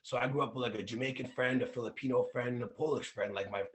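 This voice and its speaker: A man's voice: medium pitch, fast talker. A young man from Russia, a crypto public speaker, chatting casually as a panel guest and rambling with lots of fillers.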